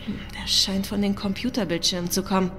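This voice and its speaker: A woman's voice: medium pitch, steady tone, a little fast, over music, close to an everyday voice. Observant female spaceship officer played by a woman. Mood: uneasy, attentive. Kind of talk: audio drama acting in a sci-fi thriller.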